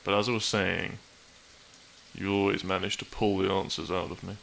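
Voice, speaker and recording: Man acting as low, gravelly, dry-witted best friend. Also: flat delivery, normal speed, a bit bright, slight background noise, poor audio. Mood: subdued, vulnerable. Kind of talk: intimate audio roleplay acting.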